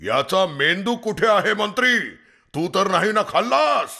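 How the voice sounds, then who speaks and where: A man voicing a hungry, injured lion king in a children's animal story, gravelly and regal, giving commands. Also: high voice, animated, unhurried, sharp tone, full character voice. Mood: suspicious.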